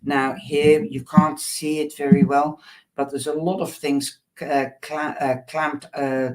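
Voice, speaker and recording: Slow, hesitant man reading fortunes. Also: on the high side, level tone, darkish tone, poor audio. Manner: hesitant, many ums, addresses viewer fondly. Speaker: tarot and tea-leaf reader.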